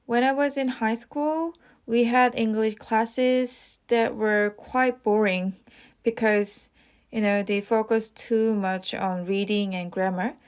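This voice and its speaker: Slow, measured young woman. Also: on the high side, fairly even intonation, clean tone. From South Korea. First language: Korean. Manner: reads each question, then answers with rehearsed, casual fillers. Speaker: IELTS practice test speaker. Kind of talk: model answers for a speaking exam.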